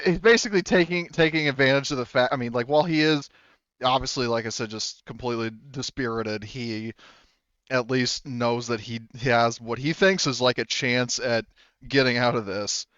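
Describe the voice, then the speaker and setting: A man's voice: medium pitch, normal speed, a bit bright, no room sound, highs cut off. A man speaking as game master, narrating a tabletop roleplaying game casually and informally, sometimes swearing.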